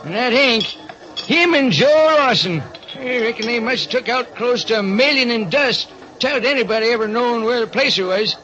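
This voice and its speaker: Very high-pitched old prospector. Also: male voice, lively intonation, moderate pace, sharp tone, exaggerated voice. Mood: sly, reminiscing. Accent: American. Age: older. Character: crafty old Western prospector, shrewd and thirsty. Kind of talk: old-time radio detective drama. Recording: dull recording with clear noise.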